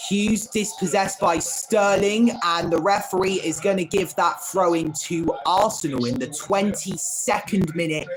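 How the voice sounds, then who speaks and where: A man's voice: high-pitched, a little slow, hardly any pauses, a crowd in the background, poor audio. A man hosting a live football watchalong stream, calling each pass by player name and chatting with viewers between plays. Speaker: livestream host.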